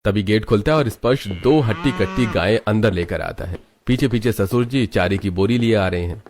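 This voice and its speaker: A man narrating a cartoon story in a steady, scripted way. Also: fairly low pitch, moderate pace, slight background noise.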